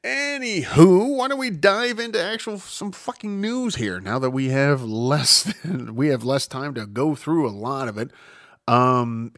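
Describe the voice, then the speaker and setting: A man hosts his own podcast alone, rambling loosely through the news with plenty of swearing and self-mockery. His pitch swings widely and keeps things animated. The sound is dry and close, with no room around it. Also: no echo. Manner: rambling, profane, self-mocking, jumps between topics. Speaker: podcast host. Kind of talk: solo casual news podcast.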